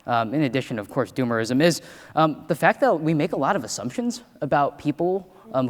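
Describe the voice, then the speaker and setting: A man on a stage talks in a relaxed, conversational way with playful asides and a lot of "um." His mid-range voice rings out with a long hall reverb. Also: mid-range voice. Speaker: panelist in politics. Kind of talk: panel discussion on stage.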